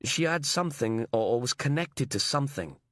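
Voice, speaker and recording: A man's voice: medium pitch, animated, fairly quick, a bit bright, no room sound, pitched above the performer's own voice, natural voice. A man reading a whiny, self-pitying male inmate who puts on a fake posh accent. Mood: shaken. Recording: dry close studio sound.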